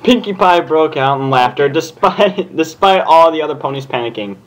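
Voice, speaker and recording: Animated, giggly man with a highish voice. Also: moderate pace, a little hiss or hum, poor audio. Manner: giggly, exasperated. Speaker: fan fiction co-reader. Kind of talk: amused comedic read-aloud with asides.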